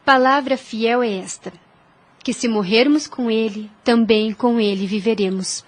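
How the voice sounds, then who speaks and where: Woman acting a high-voiced devout young lady. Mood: earnest, devout. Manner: earnest, measured, reverent explaining. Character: gentle, devout young Spanish woman, earnest teacher of scripture. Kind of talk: religious audio drama acting.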